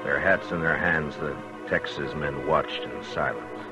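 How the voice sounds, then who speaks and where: An American actor playing a sober, plain-spoken Old West marshal in a 1950s radio western, with a measured, understated delivery. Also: male voice, a bass voice, fairly even intonation, over music. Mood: somber narration. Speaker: lead actor and narrator. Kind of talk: 1950s radio western acting, narration and dialogue. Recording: vintage 1950s radio recording.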